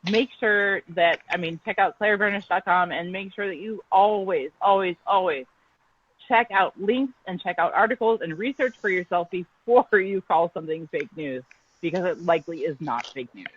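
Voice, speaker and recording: A woman's voice: fairly low pitch, normal speed, breathy edge, highs cut off. A woman journalist guest on an online show, outspoken and emphatic.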